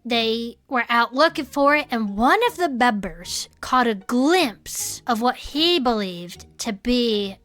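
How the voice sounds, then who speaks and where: Bright, high-voiced small-town monster-lore storyteller. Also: female voice, animated, slow pace, hardly any pauses. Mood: eerie, suspenseful. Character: earnest small-town woman, cryptid club member, spinning local monster lore. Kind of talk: conversational audio fiction storytelling.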